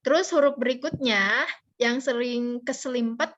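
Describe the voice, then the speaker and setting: A woman's voice: a little high, normal speed, a bit bright, a little hiss or hum. A woman giving an informal, playful lesson as a public speaking instructor over a video call. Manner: playful, joking, colloquial. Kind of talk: informal interactive online lesson. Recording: dull, band-limited video call audio.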